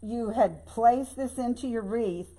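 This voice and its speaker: An older woman with a hoarse voice and a Louisiana Southern accent, chatting folksily through a bow-making tutorial. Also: a little high, a little slow, dark tone, low-quality recording. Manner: chatty and folksy, keeps saying y'all. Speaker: craft tutorial host. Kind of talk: casual bow-making demonstration.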